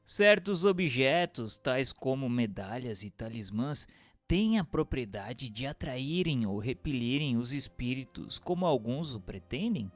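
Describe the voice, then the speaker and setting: A man playing an earnest questioner, reading questions and notes formally and deliberately in a scripted radio drama. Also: mid-range voice, big pitch swings. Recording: long hall reverb, music bed.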